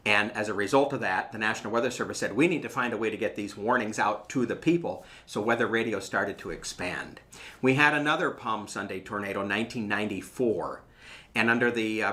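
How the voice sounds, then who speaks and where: A man's voice: mid-range voice, bright, forceful tone. A man speaking as a weather service meteorologist, explaining things informally and emphatically, with key phrases repeated. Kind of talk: explanatory interview talk.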